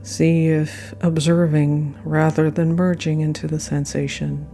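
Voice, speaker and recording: A woman guiding a yoga nidra meditation with gentle, unhurried phrasing and frequent pauses. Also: deep voice, little pitch movement. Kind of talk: guided meditation narration. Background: soft meditation music.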